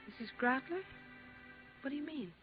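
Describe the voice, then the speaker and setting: A woman's voice: high-pitched, lively intonation, normal speed. An actress playing a wary young mother in hiding, guarded and plain-spoken, in a 1950s radio drama. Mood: worried. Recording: dull 1950s radio recording, faint music.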